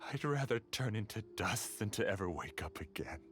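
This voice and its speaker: Dramatic, wide-swinging obsessive scholar villain. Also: male voice, on the low side, expressive, moderate pace, fairly bright tone. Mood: despairing, bitter. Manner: theatrical, emotional, grandiose. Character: obsessive grieving male scholar villain, master of a dream. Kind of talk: dramatic video game voice acting. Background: game music.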